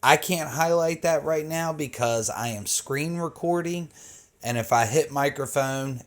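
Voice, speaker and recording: Regular guy with a mid-pitched voice walking you through a how-to, casual and step by step. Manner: step-by-step, informal explaining. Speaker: tutorial host. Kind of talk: casual screen-recorded how-to narration.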